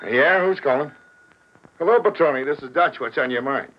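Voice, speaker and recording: An actor playing an uneasy, talkative henchman in a 1940s radio crime drama, husky and slangy. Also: on the high side, normal speed, lightly acted. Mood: brisk, puzzled. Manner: slangy tough-guy talk, questioning. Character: uneasy male gangster henchman, dim, talkative, deferring to boss. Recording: muffled old radio recording.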